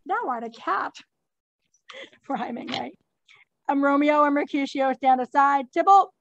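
High-pitched woman directing a play reading. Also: a little slow, gravelly, lo-fi sound. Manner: animated prompting with informal asides. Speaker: teacher leading a class read-through.

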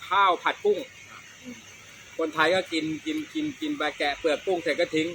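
A man's voice: on the high side, sing-song, moderate pace, bright, forceful tone, a little hiss or hum, poor audio. A middle-aged man, telling comic stories casually as a show host, chatty, repeating words and using crude slang. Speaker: host of a comedy storytelling show.

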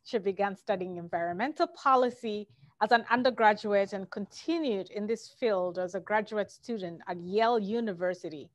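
A woman hosting and producing an interview show, introducing her guest formally over a video call, with some halting fillers. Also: medium pitch, dry recording. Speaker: host and producer. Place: home room.

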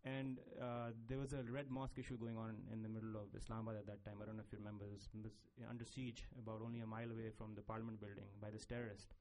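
A man's voice: fairly low pitch, little pitch movement, racing, few pauses, darkish tone, a little hiss or hum, rough recording. A man on a conference panel, talking with many fillers and restarts about making a film.

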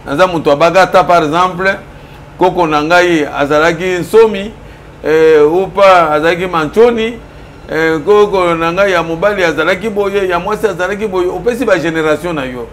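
A middle-aged Congolese-accented man lays out political arguments in an interview, switching between Lingala and French. His voice sits a bit high and his points land with emphasis. He speaks in a small office room over a faint hum of ambience. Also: normal speed. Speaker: political commentator. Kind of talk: studio interview.

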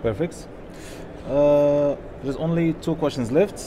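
A man with a slightly high voice moderates a question session on a conference stage. He reads questions out for the speaker. Noticeable background noise sits around his voice. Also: takes it slowly. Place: on stage in a large conference hall. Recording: clear background noise, live event.

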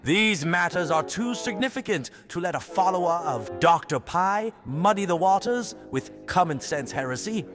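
A man acts an authoritarian president in an audio drama. He is pompous, with big swings of pitch. The hall echoes over light music. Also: expressive, moderate pace, few pauses. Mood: outraged. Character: pompous, authoritarian male world president chairing committee.

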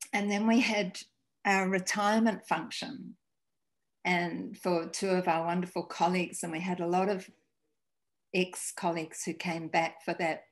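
A woman in her sixties from New Zealand, a school principal telling stories in a video-call interview, halting and restarting. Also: lowish voice. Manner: warm storytelling, halting restarts. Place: home room, indoors. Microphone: video call webcam.

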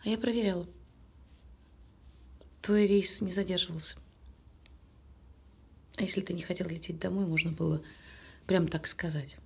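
An actress playing a hurt, sarcastic woman doubting her partner, with a husky voice and a wry, pointed delivery in a naturalistic audio drama. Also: lowish voice, fairly even intonation, normal speed, long pauses, a little mellow, full character voice.

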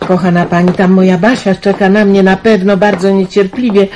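A woman's voice: medium pitch, little pitch movement, fairly quick, a little mellow, slight background noise, close to an everyday voice. Actress as chatty, kindly country mother. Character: kindly, busy country mother in wartime Poland. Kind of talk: radio drama acting.

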